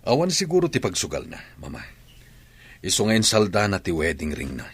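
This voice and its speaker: A man's voice: sits low, wildly swooping pitch, a little fast, vocal fry, slight background noise, exaggerated voice. A man playing a troubled husband and grown son in an audio drama. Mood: grave, disappointed. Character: troubled husband, an adult son confiding in his mother. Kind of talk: dramatic radio-drama dialogue.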